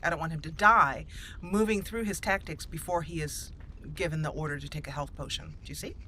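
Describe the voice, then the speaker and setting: Bright-voiced woman gaming host. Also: sits low, a little fast, slight background noise. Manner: chatty, explanatory. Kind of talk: casual gameplay commentary.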